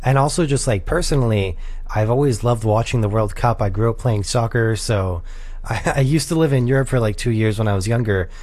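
A man's voice: medium pitch, a little fast. A young man in his twenties, a music producer being interviewed on radio, talking casually with lots of "like" and "sort of". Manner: casual, relaxed, many "like" and "sort of" fillers.

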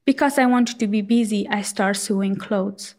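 A woman's voice: medium pitch, darkish tone. Earnest woman from Afghanistan. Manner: earnest, halting with fillers. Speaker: storyteller. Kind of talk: personal storytelling.

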